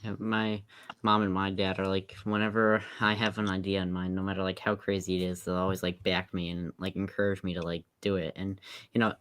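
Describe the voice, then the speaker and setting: Deep, flat-voiced man co-host. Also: a little hiss or hum. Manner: earnest, halting, many "like"s. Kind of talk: casual podcast chat.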